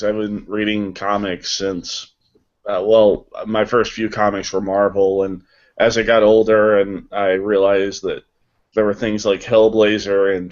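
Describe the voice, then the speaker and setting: A man's voice: on the low side, muffled recording. A man chatting casually as a panel guest, wry and self-deprecating. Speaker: voice actor on a panel. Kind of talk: casual unscripted panel chat.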